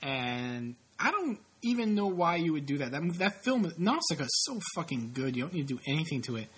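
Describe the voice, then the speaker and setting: A man's voice: on the high side, sing-song, a little fast, a bit bright, breathy edge. Stuffy-nosed man in his late twenties. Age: late 20s. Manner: rambling, profane, self-mocking. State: stuffy, sniffling. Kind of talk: casual chat.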